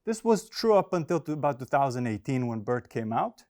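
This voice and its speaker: A man's voice: mid-range voice, big pitch swings, brisk, darkish tone. A man, a native Hebrew speaker, presenting academic research in a casual, hesitant way with many fillers and dry humor. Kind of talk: academic talk with slides.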